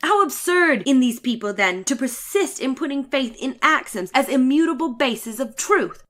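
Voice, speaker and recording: A woman with a very high, bright voice performs a dramatic reading of a classic story. Her delivery is theatrical and emphatic, with precise, formal phrasing. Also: animated, moderate pace. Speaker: reader of a short story.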